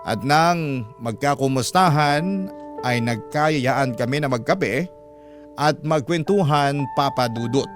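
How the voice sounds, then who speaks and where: A man working as a radio storyteller, reading a first-person confession letter in an earnest, measured and polite way. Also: medium pitch, loud music behind the voice. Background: musical score.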